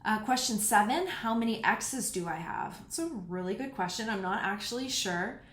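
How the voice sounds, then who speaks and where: A woman's voice: mid-range voice, animated, normal speed, a bit bright. A woman in her thirties hosting a podcast, talking frankly and casually as she answers listener questions. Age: 30s. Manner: frank and candid, speaks bluntly about personal topics. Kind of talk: casual solo podcast talk. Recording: roomy echo.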